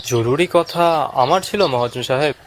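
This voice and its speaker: A man's voice: mid-range voice, normal speed, a little hiss or hum, lightly acted. A man playing a bold, inquisitive young investigator in a horror cartoon, earnest in delivery. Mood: accusing.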